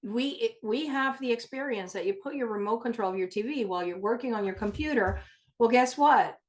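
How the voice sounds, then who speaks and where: A woman's voice: on the high side, a little fast. A woman co-presenting in an informal, explanatory way, direct and practical, checking for agreement as she talks.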